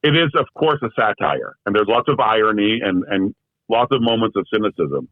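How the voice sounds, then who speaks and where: A man with a lowish voice, speaking as an interview guest in a meandering, reflective way, full of "you know" and restarts. His audio comes through a thin, phone-like remote line, and it sounds dry and close. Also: expressive, brisk, no room sound. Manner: thoughtful, rambling, frequent fillers and restarts. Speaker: guest, translator. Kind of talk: casual conversational interview.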